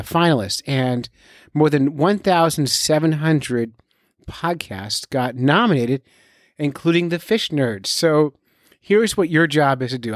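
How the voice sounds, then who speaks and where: A man's voice: medium pitch, no echo, studio quality. A man hosting a podcast in casual, unscripted talk, informally addressing and urging his listeners directly. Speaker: podcast host.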